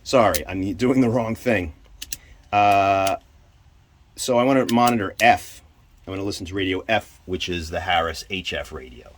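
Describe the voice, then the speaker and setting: A man giving an informal hands-on equipment demonstration, halting and thinking aloud as he goes. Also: low-pitched. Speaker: presenter demonstrating radio equipment. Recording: clear background noise.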